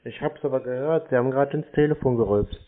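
A man in a casual phone conversation, answering in a laconic, deadpan way as a prank caller. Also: medium pitch, fast talker. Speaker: YouTuber prank-calling phone scammers. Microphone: telephone call. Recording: narrow muffled phone line.

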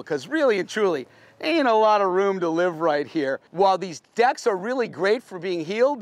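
A man's voice: high voice, no room sound. A middle-aged American man giving a casual, unscripted boat tour in a plain-spoken, folksy, explanatory way, like a veteran sailor.

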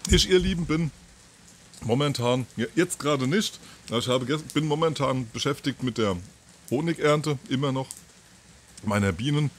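A man in his fifties giving an informal, halting monologue to camera, thinking aloud with pauses and repeats. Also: mid-range voice. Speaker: video channel host. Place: outdoors on a terrace or balcony by trees. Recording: outdoor ambient noise.

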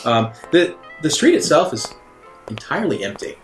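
A man with a slightly high voice runs a tabletop game, describing scenes in halting, improvised phrases. The room is audibly roomy and music plays faintly behind him. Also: very animated. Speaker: game master.

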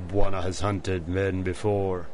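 An actor playing a solemn, towering valet in a radio drama, terse and grave in manner. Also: male voice, low voice, level tone, a little slow, darkish tone, slight background noise. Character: solemn, towering seven-foot valet to a hunter.

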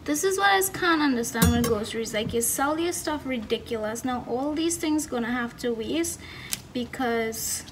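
A woman's voice: on the high side, animated, moderate pace, music in the background. A woman in her twenties with a Trinidadian accent, casually narrating a home cooking vlog as she works. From Trinidad and Tobago. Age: 20s. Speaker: vlogger. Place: home kitchen, indoors. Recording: noisy kitchen, distant microphone.

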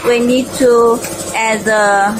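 A woman with a Chinese accent, a native Mandarin speaker, giving step-by-step equipment instructions in halting, short, simple phrases. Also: on the high side, fairly even intonation, loud music behind the voice. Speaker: machine installation demonstrator. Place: industrial workshop, indoors. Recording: noisy workshop with vehicle-like hum.

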